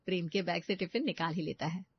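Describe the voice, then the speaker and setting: A woman's voice: on the low side, fast talker, slightly rough, no room sound, muffled recording. A woman narrating a scripted family story as a storyteller.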